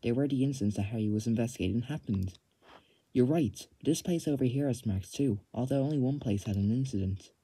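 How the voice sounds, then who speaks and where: Guy playing a game and reading all the text out, mellow husky voice, music low behind. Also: fairly quick, poor audio. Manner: reads on-screen text in a plain voice. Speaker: let's play gamer. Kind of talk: casual gameplay commentary, reading dialogue aloud.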